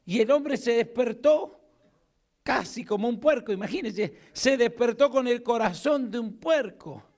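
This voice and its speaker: A middle-aged man with an Argentine accent, preaching a sermon from a church pulpit into a handheld mic, swinging from loud calls for amens to quiet asides. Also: very high-pitched, expressive, a little slow. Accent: Argentine Spanish. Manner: emphatic preaching, rising loud to call for amens and dropping to a hush. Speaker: pastor. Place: church hall with a stage. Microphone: handheld mic at a pulpit, far camera.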